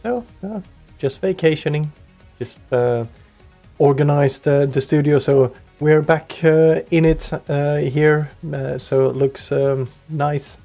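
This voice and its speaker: A man's voice: a little high, slow, vocal fry, warm tone, faint music behind. A man co-hosting a radio show, talking casually in a laid-back, terse way with dry asides. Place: radio studio.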